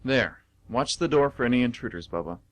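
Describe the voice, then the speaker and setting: A man's voice: mid-range voice, expressive, fairly quick, no room sound, close to an everyday voice. A man playing an ordinary guy in radio theatre, casually muttering to himself. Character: everyday man muttering to himself and his statues.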